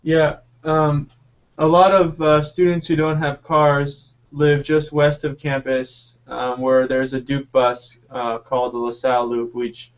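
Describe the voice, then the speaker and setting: A man's voice: mid-range voice, little pitch movement, a little slow, clear, resonant tone, highs cut off. A man in his twenties from North Carolina, a graduate student on a live Q&A panel, explaining steadily with many "uh" fillers.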